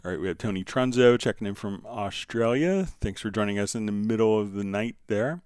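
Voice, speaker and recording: A man hosting a casual live software tutorial, answering viewer questions in a conversational, step-by-step way. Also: fairly low pitch, fairly quick, smooth, dry recording, clean studio sound. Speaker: livestream host and software instructor.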